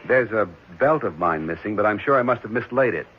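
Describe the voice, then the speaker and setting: An actor playing a gentle, earnest married foster father in a 1970s radio drama, speaking hesitantly and reassuringly. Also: fairly low pitch, animated, brisk, husky. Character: gentle, caring married man fostering an orphan boy. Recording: muffled, noisy old radio broadcast.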